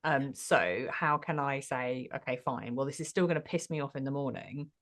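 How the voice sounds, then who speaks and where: Deep-voiced woman host, thoughtful. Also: moderate pace. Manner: thoughtful, summarizing back. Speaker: podcast host. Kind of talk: podcast conversation.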